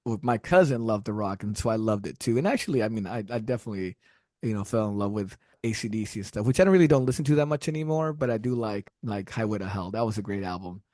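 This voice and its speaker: A man's voice: lowish voice, mellow, no room sound, clean studio sound. Rambling, laughing middle-aged Texas-born man. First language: Spanish. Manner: rambling, laughs often, many restarts. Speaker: podcast guest, musician. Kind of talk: casual interview storytelling.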